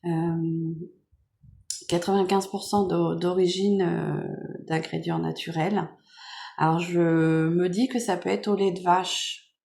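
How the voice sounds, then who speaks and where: A French woman with a cold, hoarse and nasal, chatting softly and rambling with many fillers as she unboxes gifts at home. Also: lowish voice. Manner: soft-spoken, meandering with many fillers. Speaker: vlogger. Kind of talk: casual chat unboxing makeup gifts.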